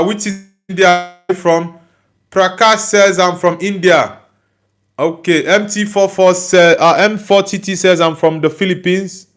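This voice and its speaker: Young nigerian guy on a livestream, kinda high voice, reading out chat and answering, keeps asking for likes. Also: fairly bright tone. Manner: reads viewer comments aloud and answers each one; friendly, often asks for likes and subscribes. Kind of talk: casual live chat.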